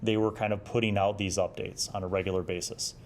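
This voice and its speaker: A man's voice: fairly low pitch, level tone, fairly quick, slight background noise. A middle-aged man hosting a review series, talking casually and enthusiastically in an informal, rambling way as he pages through material. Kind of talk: casual chatty magazine review, commenting while paging through.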